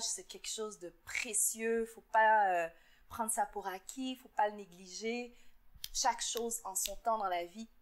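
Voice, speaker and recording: A woman from Montreal with a Québécois accent, answering interview questions in an earnest, reflective way, often pausing with fillers and repeating words. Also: medium pitch. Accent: Québécois French. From Montreal, Quebec. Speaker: interviewee, entrepreneur.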